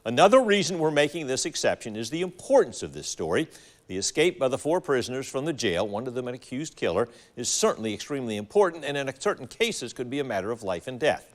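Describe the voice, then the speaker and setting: An American man anchors a TV newscast in a mid-pitched voice. His intonation moves widely as he delivers scripted copy in a formal, measured way. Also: sing-song. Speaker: TV news anchor. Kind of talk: scripted local news broadcast delivery.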